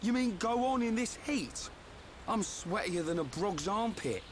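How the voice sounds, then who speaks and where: A man's voice: high voice, lively intonation, a little slow, slight background noise. A man playing a brash, good-hearted young fighter in a video game. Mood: grumbling.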